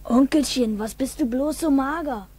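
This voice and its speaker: A woman's voice: high voice, normal speed, warm tone, breathy edge. A woman playing a suspicious, blunt village boy in a 1960s German radio drama. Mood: softening, curious. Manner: blunt, challenging. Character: suspicious, cheeky village boy, tough-talking child.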